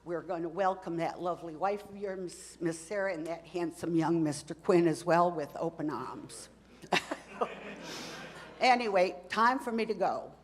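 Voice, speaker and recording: A woman's voice: lowish voice, measured pace, natural voice. A woman playing a chatty, teasing church lady in a comedy skit, speaking with a put-on drawl in a church. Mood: playful teasing. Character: folksy Texan church lady, gift-giving and teasing. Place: church sanctuary. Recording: reverberant church hall.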